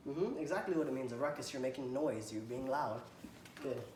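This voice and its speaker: A man reading a children's picture book aloud to a child, stopping to point at the pictures and ask questions, and often clearing his throat. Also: mid-range voice, animated, fairly quick, darkish tone. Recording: echoey room, faint background noise.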